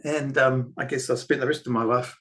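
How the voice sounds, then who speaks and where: A man's voice: medium pitch, steady tone, fairly bright tone. Reflective older New Zealand man. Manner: reflective, unhurried storyteller. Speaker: guest filmmaker and author. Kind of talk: podcast interview.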